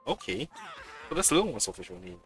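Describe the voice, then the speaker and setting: A man with a lowish, bright voice gives casual live game commentary. His pitch swings very widely as he thinks aloud, with faint music behind him. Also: very animated, a little fast. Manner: muttering to himself, thinking aloud. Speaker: game streamer.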